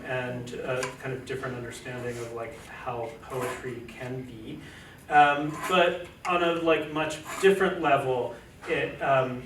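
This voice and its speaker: A man talks casually on a panel, halting often and circling back with fillers as he thinks aloud. He sits away from the mic in an echoey lecture room. A steady hiss of noise sits under his voice. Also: medium pitch, far from the mic. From United States, family from Chile. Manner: hesitant, many fillers and restarts. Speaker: poet and translator on a panel.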